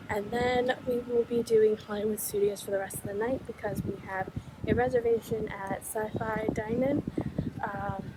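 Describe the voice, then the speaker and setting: A woman's voice: fairly high pitch, background noise. A woman vlogging in a chatty, upbeat way, her voice a little worn. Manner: chatty, upbeat, talks straight to camera. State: slightly losing her voice. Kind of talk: casual vlog narration.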